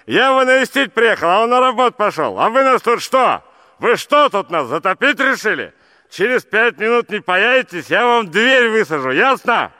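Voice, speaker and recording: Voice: medium pitch, sing-song, normal speed, bright, forceful tone, big-room sound. An actor in a radio play voicing a pushy, angry caller who poses as a neighbour's relative, brash and threatening.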